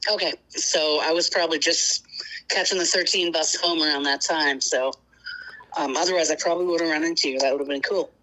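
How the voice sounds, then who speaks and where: A woman's voice: deep voice, steady tone, brisk, bright, forceful tone, low-quality recording. A woman in her fifties from Boston, calling in and chatting casually with many fillers. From Boston, United States. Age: 50s. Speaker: caller. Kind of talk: casual call-in chat.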